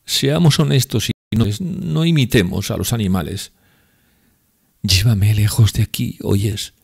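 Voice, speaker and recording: A man voicing a drunk, impassioned young woman in an audiobook, speaking in a raised, breathy, pleading tone. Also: on the low side, a little slow, voice pushed up, exaggerated voice. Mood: earnest. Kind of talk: audiobook character reading.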